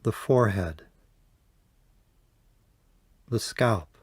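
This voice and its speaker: A man leading a guided yoga nidra meditation, speaking softly and calmly with long pauses. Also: fairly low pitch, breathy edge, no echo, studio quality. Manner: slow, soft, calm guiding with long pauses. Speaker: meditation teacher.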